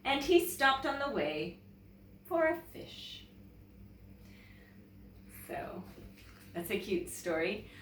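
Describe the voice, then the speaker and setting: Animated Canadian woman storyteller in an echoey room. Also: mid-range voice, distant mic, slight background noise. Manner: expressive, deliberate storytelling. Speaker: teacher reading stories to children. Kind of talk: children's storybook read-aloud with casual introduction. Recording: roomy echo, away from the mic.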